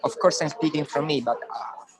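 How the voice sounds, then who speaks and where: Halting man with an Italian accent. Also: a little high, crowd noise. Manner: halting, searching for English words. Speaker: program organizer. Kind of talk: casual online panel chat.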